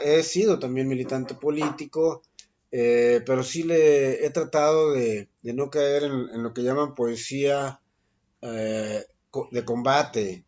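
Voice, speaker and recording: A man, a guest poet answering interview questions thoughtfully, pausing often with fillers and restarting his sentences. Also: mid-range voice, a little mellow. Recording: dull lo-fi recording.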